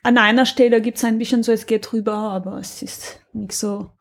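A woman with a fairly high voice speaks German with an Austrian accent. She chats casually as a podcast co-host, giving recommendations. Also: steady tone, moderate pace. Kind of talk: casual chat, recommending audio dramas.

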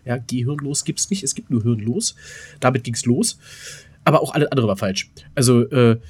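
A man's voice: medium pitch, moderate pace, darkish tone, breathy edge. A middle-aged German man in casual podcast banter as co-host, teasing and repeating himself as he looks for words.

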